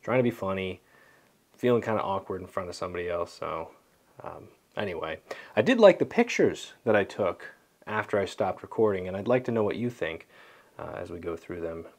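A man's voice: low-pitched, lively intonation, fairly quick. A man talks casually to camera as a vlogger, rambling and a little self-deprecating. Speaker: vlogger, landscape photographer. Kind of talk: casual vlog talk to camera. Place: small home studio room.

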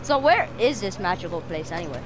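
A woman's voice: lowish voice, very animated, fairly quick, a little hiss or hum. A woman chatting casually as a player in an improvised game roleplay.

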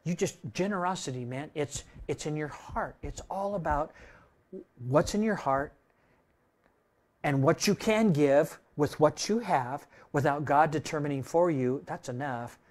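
A man's voice: a little high, measured pace, pauses often. Older male pastor, conversational preaching. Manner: conversational preaching, tag questions, dynamic swings in loudness. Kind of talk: sermon. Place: church room with projector screen.

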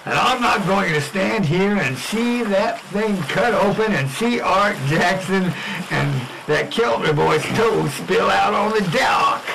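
A man's voice: sits high, lively intonation, takes it slowly, gravelly, background noise, heavily acted. A man playing a blustery, dismissive small-town mayor in a radio drama spoof. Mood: insistent. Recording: music behind the voice.

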